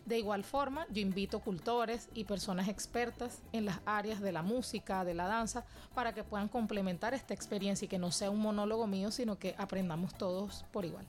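A woman's voice: mid-range voice, brisk, few pauses, darkish tone, soft background music. A Venezuelan woman answering interview questions about her dance classes, earnest and reflective in long flowing sentences. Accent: Venezuelan Spanish. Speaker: dance teacher and cultural guest.

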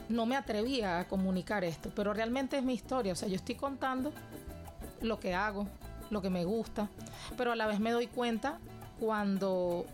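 A woman's voice: mid-range voice, a little mellow. A young Venezuelan woman answering interview questions about her cultural work in an earnest, reflective way. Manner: earnest and reflective, with measured phrasing. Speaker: guest, cultural educator.

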